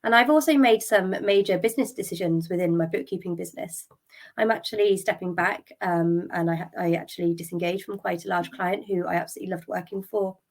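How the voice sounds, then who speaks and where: A middle-aged woman, hosting a solo podcast, a little croaky from a cold, talking openly and a bit wearily, with ums and restarts. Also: on the low side, brisk. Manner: open and candid, thinking aloud, with ums and restarts; tired and drained. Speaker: podcast host. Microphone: podcast microphone.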